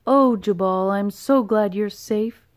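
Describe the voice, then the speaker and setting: A woman voicing a frazzled mother toad in a storybook, loud and emphatic. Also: medium pitch, slow pace, close to an everyday voice. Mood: relieved. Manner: yelling, emphatic.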